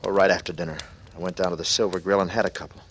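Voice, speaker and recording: Low-voiced haunted man, old radio drama. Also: flat delivery, very fast, a bit bright. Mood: haunted, grieving. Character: brooding guilty man, haunted and slyly unhinged. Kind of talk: 1940s radio drama acting. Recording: noisy old radio recording.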